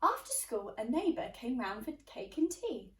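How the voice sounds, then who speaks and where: A woman's voice: fairly high pitch, sing-song, normal speed. A woman teacher reading a children's storybook aloud, expressively addressing young listeners. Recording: roomy, slightly distant microphone.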